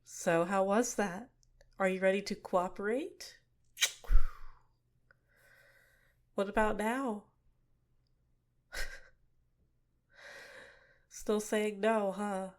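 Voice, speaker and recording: A young woman voicing a cute but manipulative female villain in a soft-spoken, teasing ASMR roleplay, recorded close to the mic. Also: lowish voice. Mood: teasing, taunting.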